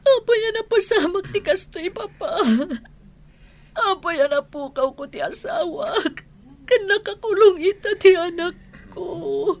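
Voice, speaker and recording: A woman's voice: very high voice, animated, unhurried, exaggerated voice. A woman voicing a doting, defensive village mother who shields her spoiled son in a radio drama. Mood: wailing. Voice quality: overprotective, wailing in grief. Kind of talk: Ilocano radio-drama acting.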